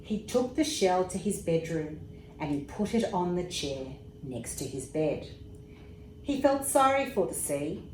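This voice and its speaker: A woman reads a bedtime story aloud to young children in an expressive, unhurried way, pausing for little asides. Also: lowish voice. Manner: unhurried, expressive, pauses for asides about pictures. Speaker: storybook reader, emergency services commissioner. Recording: roomy echo with faint background noise.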